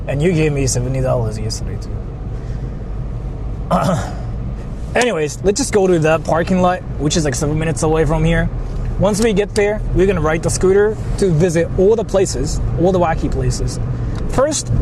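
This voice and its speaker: Guy streaming while driving, kinda high voice, super animated, rambly casual chat, lots of car noise. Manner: rambling, repetitive. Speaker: livestreamer. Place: inside a moving car. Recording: loud car and road noise. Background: vehicle driving noise.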